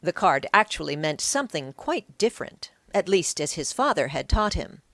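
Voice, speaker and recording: Lowish, expressive woman narrating an audiobook. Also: normal speed, no echo. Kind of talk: scripted story narration.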